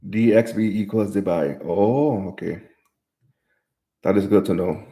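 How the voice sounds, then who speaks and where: A man's voice: on the low side, unhurried, mellow, roomy sound. A man from Jamaica hosting a live stream, reading viewer comments aloud and answering them in casual chat.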